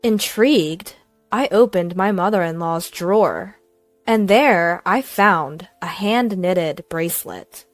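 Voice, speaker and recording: A woman's voice: lowish voice, takes it slowly, dry recording, soft background music. A woman reading a scripted, dramatic first-person story as narrator.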